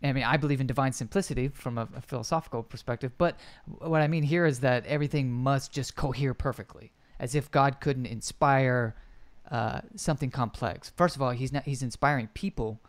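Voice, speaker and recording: A man's voice: medium pitch, brisk. A man hosting a podcast, speaking carefully and hesitantly, searching for words. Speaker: podcast host. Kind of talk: casual chat.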